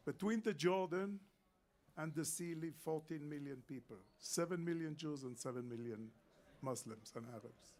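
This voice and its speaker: A man's voice: a little high, measured pace, darkish tone. An older man, speaking emphatically and repeating his points as a panel guest. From Israel. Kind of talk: panel discussion.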